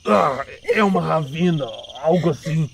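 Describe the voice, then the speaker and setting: A man's voice: fairly high pitch, unhurried, creaky, a little hiss or hum. A man acting in an audio drama as a panicked forest survivor, urgent and strained, heard at a distance under music. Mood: pained, distressed. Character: panicked male survivors shouting, hurt in a dark forest.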